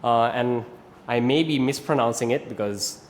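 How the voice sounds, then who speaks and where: A man's voice: medium pitch, normal speed, big-room sound, slight background noise. A man in his thirties from Malaysia with a Malaysian English accent, patiently explaining rope flow as an instructor and often checking in with "right?". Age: 30s. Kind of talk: instructional video talk.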